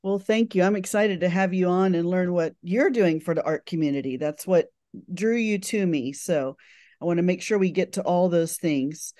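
A woman's voice: lowish voice, normal speed, dark tone. A woman hosting a podcast interview, asking questions and encouraging her guest with short affirmations. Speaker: podcast host.

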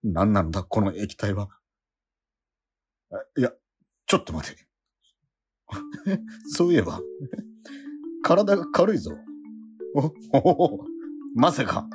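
An actor playing a struggling painter in a radio drama, muttering to himself and stammering when startled. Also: male voice, a little high. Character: struggling unsold painter, man, puzzled and easily startled. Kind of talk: first-person radio drama, narrating and acting.

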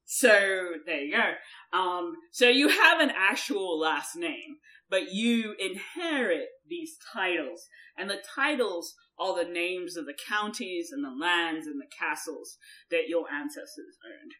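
A woman's voice: lowish voice, animated, measured pace, sharp tone, low-quality recording. A woman from Germany with a mixed German, American and English accent, explaining things in casual chat as a podcast co-host and swearing now and then.

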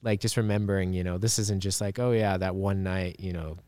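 A man in his twenties co-hosting a podcast, talking in a relaxed, rambling way with lots of fillers. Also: deep voice, brisk, no room sound. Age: 20s. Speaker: podcast co-host. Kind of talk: casual chat.

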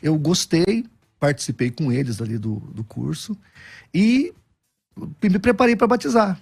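A middle-aged man speaks as a guest pastor. His voice sits somewhat high and he tells his anecdotes with energy, often restarting his sentences. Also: measured pace, gravelly, slightly rough, faint music behind. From Brazil. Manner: animated, self-deprecating anecdotes with frequent restarts. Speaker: Baptist pastor. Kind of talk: storytelling interview answers.

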